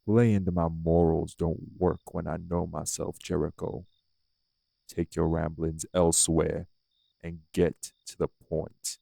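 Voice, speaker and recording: Man playing a low, growly vampire. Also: level tone, slow, creaky, dark tone, dry recording, full character voice. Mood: dry, blunt. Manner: blunt, drawling, taunting, colloquial. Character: rough, defiant old vampire, devil of New Orleans. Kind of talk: dramatic audio roleplay acting.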